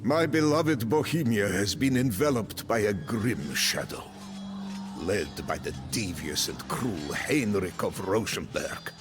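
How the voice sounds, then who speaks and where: A man's voice: lowish voice, animated, measured pace, over music. An actor voicing a proud, grim, middle-aged one-eyed Bohemian warlord who tells his story in solemn, theatrical game narration. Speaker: narrator of campaign cutscenes. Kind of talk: dramatic first-person game narration.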